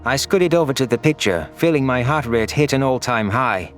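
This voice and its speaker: A man's voice: mid-range voice, lively intonation, moderate pace, a bit bright, soft background music. Man narrating an anguished first-person sci-fi story. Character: anguished, guilt-ridden, irritable male alien narrator.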